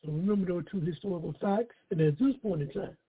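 A male radio host opens a political talk show in a fairly high, husky, slightly hoarse voice. He goes phrase by phrase with deliberate emphasis, over a narrow phone-like line.

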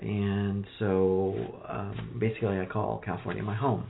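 A middle-aged man from Egypt, a guest telling how he met his wife in a relaxed, hesitant way. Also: fairly low pitch, moderate pace, creaky, slight background noise. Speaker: interview guest, husband. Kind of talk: casual conversation. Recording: tinny phone-like lo-fi sound.

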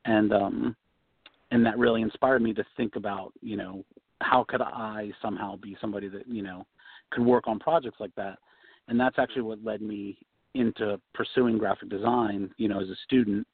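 A man being interviewed as a guest designer, relaxed, talking with many you-knows and ums. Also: on the low side, brisk, husky, no room sound. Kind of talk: casual interview answers. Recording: tinny phone-line sound.

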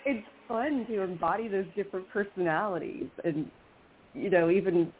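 A woman's voice: medium pitch, normal speed, slight background noise. An American woman, a radio show guest, chatting enthusiastically with frequent restarts. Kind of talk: casual radio chat. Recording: phone-like lo-fi line.

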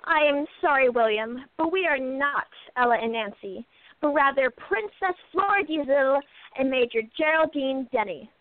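A woman's voice: high voice, animated, normal speed, dry recording. A woman playing a bold, resolute sleuth who speaks firmly, on a tinny phone-like line. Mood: determined. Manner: firm, determined.

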